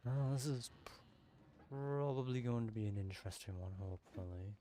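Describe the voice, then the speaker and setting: Guy streaming a game, chill mellow voice, joking asides, faint hum behind. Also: mid-range voice, normal speed. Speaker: gaming streamer. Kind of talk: casual commentary over gameplay.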